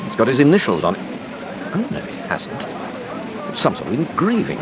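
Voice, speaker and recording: An actor playing a calm, protective, well-spoken British gentleman detective and husband in a radio drama. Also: mid-range voice, fairly quick. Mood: composed, polite. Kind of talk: BBC radio drama acting. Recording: noisy radio drama with sound effects.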